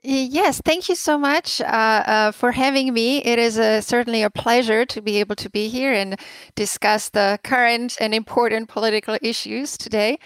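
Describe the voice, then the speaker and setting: A woman's voice: on the high side, steady tone, moderate pace, a bit bright, clean tone, no room sound. A woman in her forties from the Czech Republic, a guest expert answering radio interview questions with many fillers and restarts. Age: 40s.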